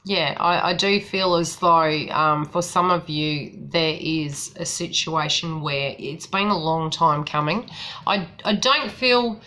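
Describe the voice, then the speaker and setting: A woman with a New Zealand accent that has been softened by years in Australia chats casually as a psychic tarot reader. Her voice sits low, has a bright edge, and runs full of fillers and restarts. Faint noise hums underneath. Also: takes it slowly. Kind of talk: casual live-stream chat.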